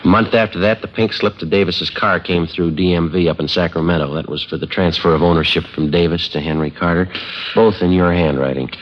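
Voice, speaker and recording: A muffled vintage radio recording carries a very deep-voiced American police sergeant played by a male actor. He is methodical and no-nonsense, laying out facts in clipped, deadpan 1950s procedural style. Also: a little fast, close to an everyday voice. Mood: firm, factual. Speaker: lead actor and narrator of a police radio drama. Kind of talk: 1950s radio acting, matter-of-fact procedural narration.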